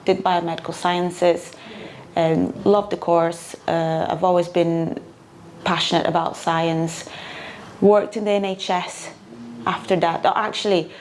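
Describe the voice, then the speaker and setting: A woman's voice: lowish voice, level tone, measured pace, darkish tone, a little hiss or hum. A woman in her thirties from Brazil with a Brazilian accent, chatting as an interview guest with frequent uh fillers. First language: Portuguese. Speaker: guest, property investor. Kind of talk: casual interview chat.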